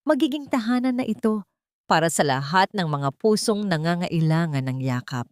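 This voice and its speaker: A Filipino woman voicing a gentle, resolute, long-suffering grandmother in an audio drama, measured and solemn. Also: fairly low pitch, expressive, dry recording, clean studio sound, natural voice. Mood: soothing.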